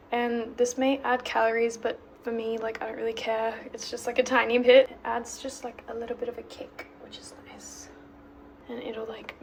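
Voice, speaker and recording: A young woman talking casually through her cooking with a slightly hoarse, croaky voice, full of "like" fillers. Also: a little high, heavy background noise. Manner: chatty, lots of "like" fillers. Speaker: vlogger.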